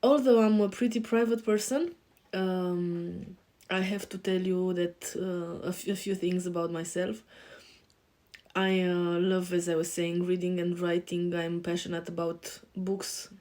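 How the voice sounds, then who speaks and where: A woman in her forties with a Romanian accent, a life coach introducing herself on a podcast and pausing often with fillers. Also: fairly low pitch, flat delivery, mellow. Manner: hesitant, many filler pauses.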